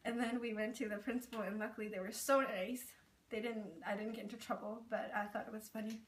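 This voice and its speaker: A young woman whose first language is Karen tells her stories in a chatty, casual way, full of "like" and "um". Her voice sits a little high. She is recorded from a distance in a roomy space. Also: flat delivery, quick pace. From Burma, raised in Thailand. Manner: chatty, with many "like" and "um" fillers. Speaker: interviewee sharing her experience. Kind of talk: casual personal storytelling.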